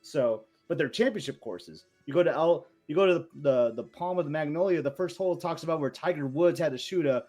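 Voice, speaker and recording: A man co-hosting a podcast, chatting casually and telling stories with enthusiasm and quick asides. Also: a little high, mellow, soft background music. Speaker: podcast co-host. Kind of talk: casual chat.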